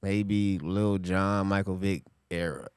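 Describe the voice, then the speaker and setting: A middle-aged man from California talks easily as a guest, with a lowish voice. He laughs a lot and says things twice. The sound is dry and close, with no room around it. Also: fairly even intonation, slow, a little mellow, clean tone, dry recording. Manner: laid-back, laughs often, repeats phrases. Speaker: guest comedian. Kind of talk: casual interview chat.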